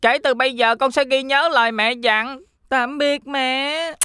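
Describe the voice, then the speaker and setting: A woman's voice: sits high, fairly even intonation, measured pace, pushed and bright, smooth, dry recording, studio quality. A woman voicing a carefree, easygoing young pig brother in a children's cartoon. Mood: apologetic.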